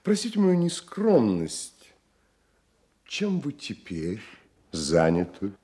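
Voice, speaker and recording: An actor playing an awkwardly polite, fawning army officer in a radio drama, hesitant and obsequious. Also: male voice, fairly low pitch, huge pitch swings, slow, warm tone, exaggerated voice. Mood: awkward flattery. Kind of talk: Soviet radio drama acting.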